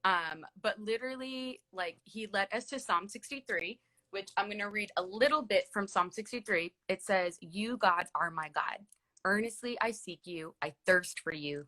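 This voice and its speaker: A woman's voice: lowish voice, bright, forceful tone, low-quality recording. A woman in her late thirties with an American accent, telling personal stories in a chatty, casual way as a livestream guest. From California, USA. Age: late 30s. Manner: chatty, peppered with "like" and "literally".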